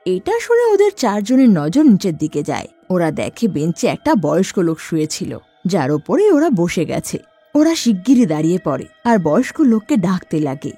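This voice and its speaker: A woman narrates a scripted story with a very animated, swooping delivery. The voice sits close and dry, with soft music beneath. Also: lowish voice, moderate pace, husky, no echo.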